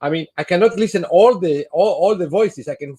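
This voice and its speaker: Guy moderating a webinar, slightly high voice, keeps pausing for words. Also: warm tone, husky. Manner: halting, searching for words. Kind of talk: webinar discussion.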